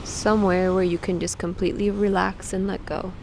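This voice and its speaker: A woman leading a guided meditation, giving soothing instructions with frequent pauses. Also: mid-range voice, little pitch movement, creaky, darkish tone, slight background noise. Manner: slow, pausing, soothing instruction.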